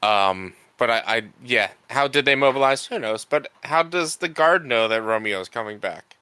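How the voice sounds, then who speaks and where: A man's voice: medium pitch, animated, normal speed, pushed and bright, clean tone, dry recording. A man co-hosting a podcast, talking casually and conversationally about films. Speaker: podcast co-host. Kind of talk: casual film discussion chat.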